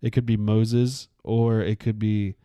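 A young man in his twenties leads a casual Bible podcast, his voice slightly low and recorded dry and close. He talks loosely and slangily, working through the facts with pauses and fillers. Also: smooth, no echo. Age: 20s. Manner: relaxed, slangy, says "dude" a lot, explains with pauses. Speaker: podcast co-host.

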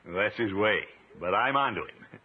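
A man's voice: fairly low pitch, lively intonation, moderate pace, slightly rough. A man playing a blustery, exasperated middle-aged uncle in a 1950s radio sitcom. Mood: grumbling. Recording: noisy, tinny 1950s radio recording.

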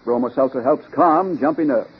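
A man's voice: mid-range voice, brisk, slightly rough. A man reading a scripted commercial in 1940s radio advertising style. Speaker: commercial announcer. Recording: dull old radio recording, faint noise.

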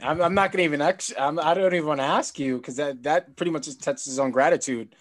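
Male podcast host, higher-pitched voice, loose rambling delivery. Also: fast talker. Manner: rambling, conversational, repeats himself. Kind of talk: casual interview chat.

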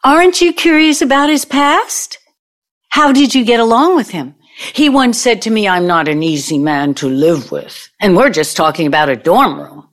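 A woman's voice: mid-range voice, animated, moderate pace, natural voice. A woman acting in an audio play as a brazen, needling older ex-girlfriend out for revenge, blunt and theatrical. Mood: needling, probing.

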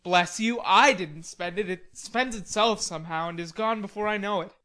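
A woman voicing a cheerful, theatrical young gentleman who teases and exclaims, as character dialogue in an audiobook. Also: medium pitch, moderate pace, close to an everyday voice. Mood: mildly injured. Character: cheerful, teasing young gentleman, tall and melodramatic.

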